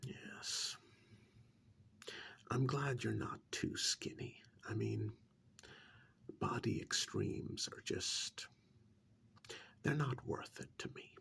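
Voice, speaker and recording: Soft half-whisper, heavy vocal fry, slow pace, calm condescending male killer acted by a man, ASMR horror role-play. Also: mid-range voice, exaggerated voice. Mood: pleased, appraising. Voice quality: breathy half-whisper with gravelly creak. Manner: hushed, deliberate, condescending, musing with pauses. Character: calm, menacing male killer who preserves victims as art.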